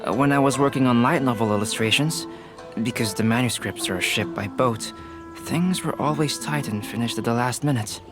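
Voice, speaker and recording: A man voicing a calm, composed young male painter in a video game, speaking in a measured way. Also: medium pitch, over music, natural voice. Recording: game audio with faint score.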